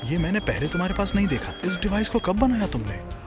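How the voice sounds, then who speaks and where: An actor voicing a scheming, boastful, excitable schoolboy in a dubbed cartoon. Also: mid-range voice, quick pace, close to an everyday voice. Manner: stammering when flustered, bragging. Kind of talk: cartoon dub acting. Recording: music behind the voice.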